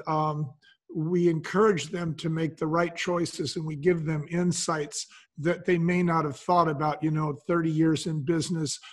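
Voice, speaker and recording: An older man speaking conversationally as a business advisor in an interview, explaining things point by point with colloquial asides. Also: a little high. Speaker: business advisor and podcast guest.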